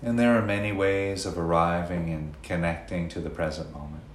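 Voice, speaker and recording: A man leading a guided meditation in a gentle, unhurried way, leaving long pauses between phrases. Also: low-pitched, steady tone. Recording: roomy echo, faint background noise.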